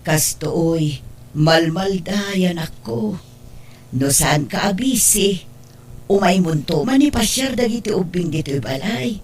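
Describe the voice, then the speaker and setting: A woman's voice: fairly low pitch, expressive, slow pace, a little mellow, husky, heavily acted. A woman playing an older mother and doting grandmother, anxious and remorseful, in an Ilocano-accented radio drama. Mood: tender, delighted. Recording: music bed behind the voice.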